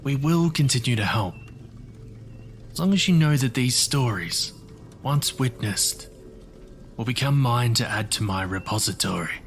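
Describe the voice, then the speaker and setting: A man playing a courteous, self-assured magical wanderer who collects stories, speaking in a measured, formal way in an audio drama. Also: mid-range voice, animated, faint music behind.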